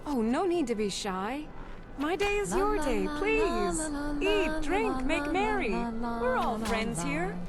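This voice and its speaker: An actress playing a joyful, effusive young noble bride with genteel manners in a fantasy video game. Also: female voice, high-pitched, sing-song, slow pace, heavily acted. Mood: overjoyed. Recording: loud music over the dialogue. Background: loud music.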